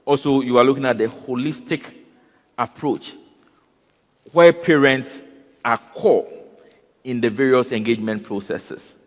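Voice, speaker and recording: A man speaking on a conference panel, often pausing with fillers and repeating words. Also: mid-range voice. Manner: halting, repeats words and fills with "you know" and "um". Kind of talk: panel discussion. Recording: long hall reverb.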